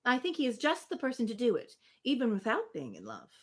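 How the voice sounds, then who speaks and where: Lady reading as married regency friend, formal, gently pushy, lively up and down voice. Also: fairly high pitch, quick pace. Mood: eagerly persuasive. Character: gently persistent married woman, Regency novel confidante.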